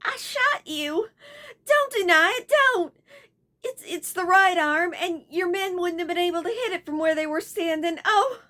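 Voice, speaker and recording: A breathless young noblewoman, high and very bright, her voice swinging all over the place, as a woman puts her on. She pleads and fusses over a wounded man. Storybook reading. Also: animated, moderate pace. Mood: tearful.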